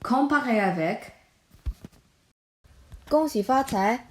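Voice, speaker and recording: A woman's voice: on the high side, lively intonation, slow pace. Young woman, upbeat school presentation, kinda echoey room. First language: Mandarin Chinese. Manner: upbeat, with playful exclamations. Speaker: student presenter.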